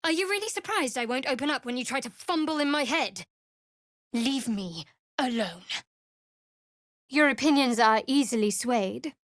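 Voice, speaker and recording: A woman's voice: high-pitched, animated, moderate pace, long pauses, fairly bright tone, no room sound. A woman playing a guarded, sardonic young female cleric in a video game, with a dry, aloof, clipped delivery. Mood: angry, warning. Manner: dry, aloof, clipped sarcasm with earnest undertones. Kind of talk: video game character voice acting.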